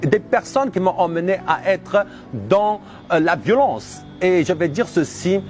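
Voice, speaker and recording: A man in his thirties from Algeria gives a personal religious testimony, speaking earnestly and emphatically in deliberate, repeated phrases. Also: sits high, expressive, a little mellow, soft background music. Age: 30s.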